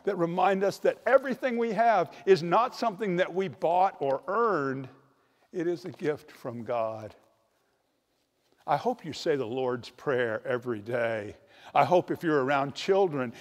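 An older man preaching a sermon with a storytelling manner, slowing down to stress key points, recorded in a large church on a handheld mic. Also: fairly high pitch. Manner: storytelling, varied emphasis, slows for key points. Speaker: priest. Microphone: handheld microphone. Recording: long church reverb.

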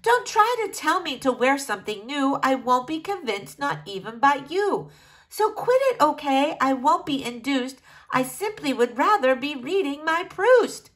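A woman's voice: sits high, very animated, a little slow, a bit bright, voice pushed up, full character voice. A woman voicing a stubborn, bookish young princess who stands up to her father. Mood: defiant. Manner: defiant and firm.

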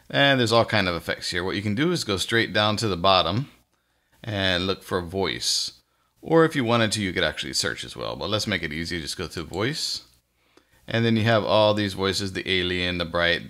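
A man hosting a casual software tutorial, explaining each step in an informal, folksy way. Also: low voice. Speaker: tutorial host.

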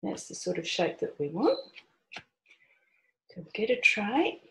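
A woman's voice: mid-range voice, very animated, unhurried, vocal fry, sharp tone. An older woman hosts a home cooking demonstration, explaining each step in a chatty, homely way while she works in her kitchen. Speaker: cooking show host. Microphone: camera on the counter. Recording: echoey kitchen with clicks and noise.

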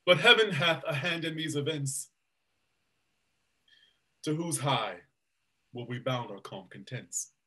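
A man playing a grave, loyal duke, telling the story in measured verse on a video call. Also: on the high side, pushed and bright, poor audio. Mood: solemn. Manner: measured storytelling, bursting into alarm. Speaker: actor in a staged reading. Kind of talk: Shakespeare verse reading. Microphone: webcam on a video call.

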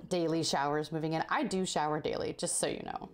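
A woman's voice: low voice, fairly even intonation, fairly quick. A woman in her thirties chatting casually with her stream while stitching, laughing often, with a slightly sore throat. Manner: chatty, laughs often, reads and answers chat. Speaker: cross-stitch livestreamer. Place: indoor home craft room.

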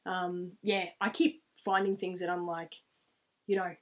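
A woman's voice: lowish voice, flat delivery, measured pace, highs cut off. A woman in her thirties with an Australian accent, telling stories casually to camera in a rambling, reflective way. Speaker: vlogger. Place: small room at home, indoors, seated.

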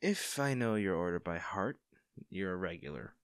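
A friendly young barista, acted by a man for an audio roleplay, with a fairly low voice. He speaks casually and attentively, a little hesitant, as if to one favorite customer. The sound is dry, with no room around it. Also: sing-song, moderate pace, smooth, no room sound. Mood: warm, chatty. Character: friendly, caring young male barista, teasing and easily flustered. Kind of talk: intimate audio roleplay acting.

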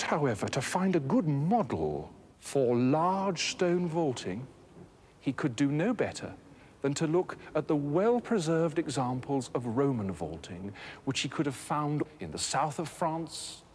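A man in his thirties presenting a scripted educational documentary in a measured, articulate, expository manner. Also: on the high side, very animated, darkish tone. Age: 30s. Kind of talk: scripted educational narration on architecture. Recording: noisy old documentary film soundtrack.

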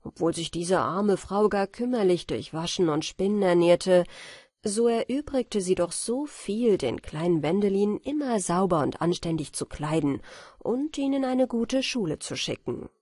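A woman with speech reads a fairy tale as an audiobook narrator, in a soft, measured and expressive storytelling manner. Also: mid-range voice, breathy edge, no echo, clean studio sound. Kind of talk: scripted fairy tale narration for bedtime listening.

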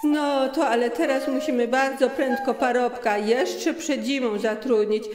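A woman voicing a practical, animated innkeeper woman in an audio story. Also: high voice, measured pace, reverberant, a crowd in the background. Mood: brisk. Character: practical, brisk innkeeper woman, the forester's sister.

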